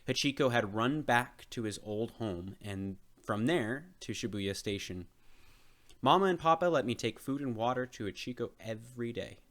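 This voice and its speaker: A man's voice: medium pitch, expressive, normal speed, fairly bright tone. A man reading a children's storybook aloud as narrator. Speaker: storybook reader.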